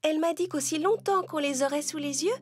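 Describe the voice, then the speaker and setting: A woman's voice: high voice, fairly quick, a little mellow, no room sound, clean studio sound, soft background music, voice pushed up, close to an everyday voice. A woman voicing a cheerful little orphan girl in a children's storybook, earnest and sentimental. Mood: bright, earnest. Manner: earnest, emotional.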